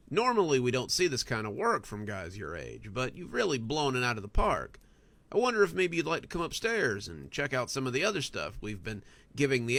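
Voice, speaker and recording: A man's voice: medium pitch, sharp tone. A man voicing a pleased, hearty male boss in a story, speaking with approving enthusiasm. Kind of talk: audiobook character dialogue.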